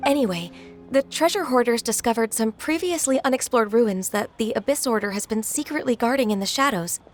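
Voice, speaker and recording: A woman playing a gentle, earnest young official in a video game, speaking in a measured way. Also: on the high side, expressive, natural voice. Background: faint game music.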